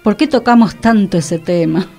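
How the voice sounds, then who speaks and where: A woman radio host speaks in a Rioplatense Argentine accent at a medium pitch. She chats casually in a studio, with some music playing behind her voice. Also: moderate pace, vocal fry, a little mellow.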